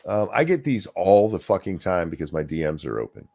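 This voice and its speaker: A man hosting a casual live stream, ranting in a crude, sarcastic way with loud outbursts. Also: low-pitched, sing-song, brisk, creaky. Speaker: stream host. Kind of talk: casual profane ranting chat.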